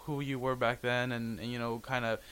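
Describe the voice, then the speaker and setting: A young man who coaches girls' soccer and grew up speaking Spanish. He speaks at a mid-range pitch. His earnest answers come out haltingly, with words repeated as he searches for them. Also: level tone, fairly bright tone, clean tone, low-quality recording. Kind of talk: interview guest.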